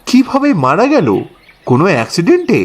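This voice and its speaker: A man's voice: high voice, wildly swooping pitch, brisk, breathy edge. A man narrating a horror story dramatically in the first person as a boatman.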